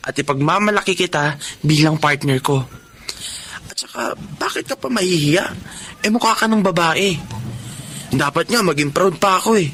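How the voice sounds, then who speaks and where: A man's voice: a little high, expressive, moderate pace, long pauses, a bit bright. A man playing a devoted, humble young boyfriend, affectionate and reassuring, in a Filipino radio drama. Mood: proud, loving. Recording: noisy track with clear background ambience.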